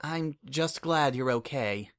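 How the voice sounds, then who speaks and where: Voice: medium pitch, slow, close to an everyday voice. A reader voicing a young unicorn mare sorceress, weary and guilt-ridden, speaking in subdued, understated replies in an audiobook.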